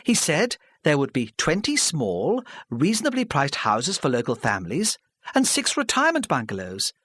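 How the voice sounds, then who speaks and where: An earnest older woman speaks up high and pleading, as a male narrator puts her on. Her talk is apologetic and nervous. The sound is dry and close. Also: huge pitch swings, moderate pace, a bit bright, husky, dry recording, heavily acted. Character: older middle-class woman, anxious and earnest.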